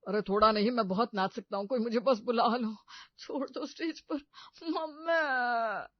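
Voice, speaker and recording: A woman's voice: fairly high pitch, moderate pace, no room sound, highs cut off, close to an everyday voice. A woman voicing a whiny, hesitant young son-in-law in an exaggerated Hindi comedy cartoon. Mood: excited, desperate.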